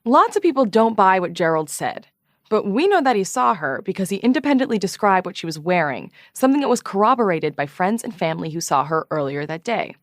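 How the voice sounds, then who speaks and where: Actress as prim true-crime podcast lady, earnest and composed, lively intonation, mid pitch. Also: brisk, dry recording, studio quality, close to an everyday voice. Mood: measured, serious. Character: earnest, prim female investigative podcast host. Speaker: podcast host and interviewer. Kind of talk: scripted true-crime parody narration.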